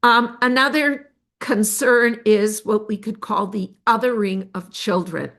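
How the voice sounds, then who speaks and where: An older woman researcher giving an academic presentation of study findings, measured and pausing often with fillers. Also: mid-range voice, a bit bright. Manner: measured, with frequent filler pauses.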